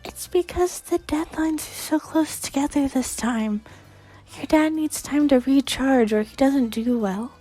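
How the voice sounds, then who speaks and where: A woman's voice: high-pitched, measured pace, warm tone, soft background music, voice pushed up. A woman voicing a protective mother, coaxing and motherly, in a visual novel reading. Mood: explaining, coaxing. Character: protective, soft-spoken mother of a teenage boy.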